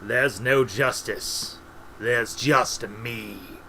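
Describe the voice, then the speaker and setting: Male reader as young man, storybook antihero, cold, menacing, terse, deliberate, mid-pitched, faint noise. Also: pushed and bright, full character voice. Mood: cold, commanding.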